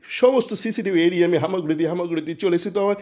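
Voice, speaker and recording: A man's voice: high voice, rapid-fire, darkish tone, pitched above the performer's own voice, natural voice. A man playing a stubborn, scheming hospital principal, insistent and repetitive, in an amateur audio story. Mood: stubborn, dismissive. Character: stubborn, scheming male hospital principal, educated crime boss. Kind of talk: amateur audio-fiction dialogue.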